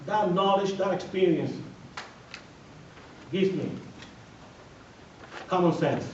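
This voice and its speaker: A man's voice: high voice, takes it slowly, a little mellow, a little hiss or hum. A man from the Dominican Republic with a Dominican Spanish accent, a native Spanish speaker giving an emphatic campaign speech at a candidates forum, repeating his phrases and building to firm declarations. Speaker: mayoral candidate. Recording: distant, echoey, dull radio recording.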